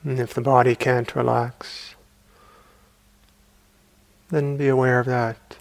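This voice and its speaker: A man guiding a meditation as a teacher, speaking calmly and unhurriedly in short phrases with long pauses. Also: medium pitch, gravelly, dry recording. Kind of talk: guided meditation.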